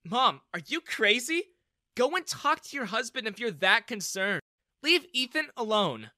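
A man's voice: very high-pitched, very animated, a little slow, sharp tone, no room sound, clean studio sound, pitched above the performer's own voice, heavily acted. A man voicing a spirited, protective young woman who speaks with earnest indignation in a storybook narration. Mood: angry.

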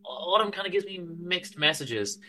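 A man's voice: high voice, lively intonation, normal speed, pushed and bright, pitched above the performer's own voice, exaggerated voice, low-quality recording. A man reading the part of a mild, wistful married man in a story, chatty, hesitant and stammering. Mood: gentle, sheepish. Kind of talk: amateur dramatic story reading.

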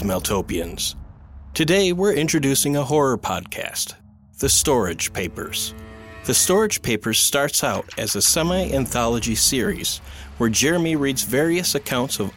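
Lively male podcast host over faint music. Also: on the low side. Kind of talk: scripted podcast promo narration.

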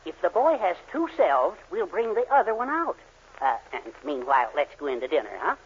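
An actor playing a meek, henpecked husband in a 1940s radio sitcom, hesitant and placating. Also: male voice, high voice, very animated, a little fast, a little hiss or hum. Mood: timid. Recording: dull 1940s radio broadcast.

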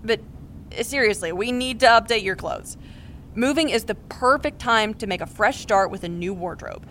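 A woman's voice: fairly high pitch, moderate pace, fairly bright tone, slight background noise, lightly acted. A woman playing a wry, practical, supportive best friend in her thirties, in naturalistic audio drama dialogue. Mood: wry, teasing. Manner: casual, wry, encouraging.

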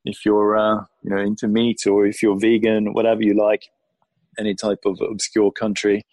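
A man's voice: fairly low pitch, completely flat delivery, moderate pace, darkish tone, no room sound, rough recording. A man from Sweden, a consultant giving friendly, thoughtful interview answers with frequent ums and restarts. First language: Swedish. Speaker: guest, employer brand consultant.

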